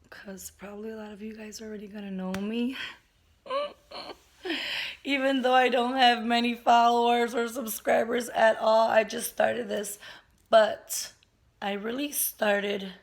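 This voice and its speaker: Hoarse woman, slow and earnest. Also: on the high side. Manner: earnest, slow and open, toning down her natural loudness. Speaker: vlogger. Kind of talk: candid personal vlog talk. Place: at home, indoors.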